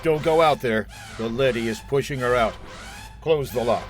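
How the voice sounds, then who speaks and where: An actor playing a brisk, authoritative military colonel in a radio drama. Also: male voice, medium pitch, music in the background, close to an everyday voice. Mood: stern, commanding. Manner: authoritative, commanding. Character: brisk male military colonel commanding an underground bunker.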